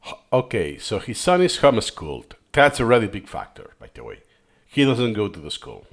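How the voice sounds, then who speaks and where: Lively-voiced Catalan-native man commenting. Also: on the low side, a little fast, creaky. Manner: thinks aloud, digresses, corrects himself mid-sentence. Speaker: video host reviewing footage. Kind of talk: thinking-aloud commentary.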